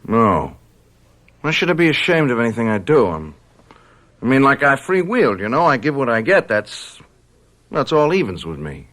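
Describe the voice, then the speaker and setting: A man's voice: mid-range voice, big pitch swings, moderate pace, pauses often, fairly bright tone. An actor playing a brash, troubled young college man in a radio drama, with slangy, stammering, defensive speech. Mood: jittery, defiant. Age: young adult.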